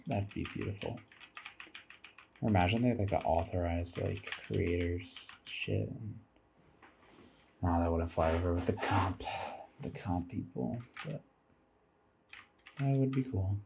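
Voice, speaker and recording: Tired, low-voiced man with gravelly fry. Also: animated, unhurried, pauses often, noisy background. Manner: musing aloud, thinking as he goes, casual swearing. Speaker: video creator commenting over game footage. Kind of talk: rambling casual commentary.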